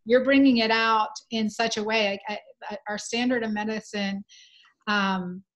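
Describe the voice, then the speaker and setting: A woman's voice: mid-range voice, steady tone, measured pace, rough recording. A middle-aged woman hosting an interview over a video call, speaking effusively and laughing easily. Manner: effusive, warm praise, laughs. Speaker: podcast host, gynecologist. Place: home room with shelf.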